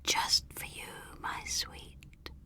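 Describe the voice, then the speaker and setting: A woman voicing a menacing male stalker in a sibilant, breathy whisper for a thriller audiobook. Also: extremely slow, pushed and bright, heavily acted. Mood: gloating, menacing.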